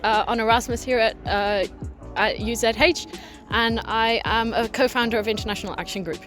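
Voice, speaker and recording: A woman's voice: on the high side, a bit bright. Articulate young woman. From Ireland. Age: young adult. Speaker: exchange student. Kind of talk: interview answers.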